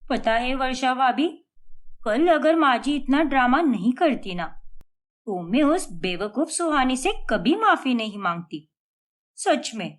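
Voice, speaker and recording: A woman's voice: high-pitched, lively intonation, measured pace. A woman voicing a proud young daughter-in-law in a cartoon story, firm and indignant. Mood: suspicious.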